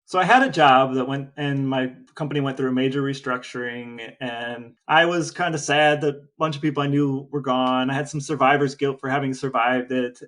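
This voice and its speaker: A man's voice: medium pitch, fairly bright tone. A man co-hosting a podcast with his brother, quizzing him and telling a long anecdote with dry humor. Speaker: podcast co-host. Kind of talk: casual chat.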